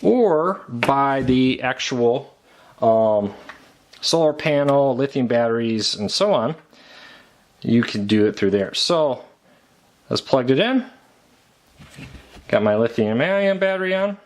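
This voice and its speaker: A man's voice: medium pitch, lively intonation, slow, pauses often. An American man giving a casual, unscripted product review in a conversational, matter-of-fact way. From United States.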